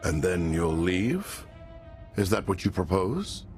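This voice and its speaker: Game villain: menacing cult crime lord, theatrical and eloquent, lowish pitch, swooping intonation, music under the voice. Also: male voice, sing-song, takes it slowly, darkish tone, heavily acted. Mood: wary, sly. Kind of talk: video game cutscene acting.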